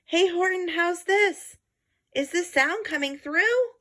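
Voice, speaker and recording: A woman's voice: very high voice, expressive, takes it slowly, fairly bright tone, voice pushed up, full character voice. A woman voicing a tiny, beleaguered male mayor in a storybook, plaintive and pleading. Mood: hopeful, calling.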